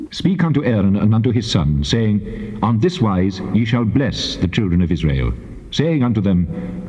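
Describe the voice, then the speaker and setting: An actor playing a solemn, commanding God who pronounces sacred law in a measured, formal recitation. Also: male voice, fairly low pitch, warm tone, lightly acted. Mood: solemn blessing. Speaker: actor in dramatized Bible. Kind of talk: dramatized scripture recitation. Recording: dull tape transfer with long reverb.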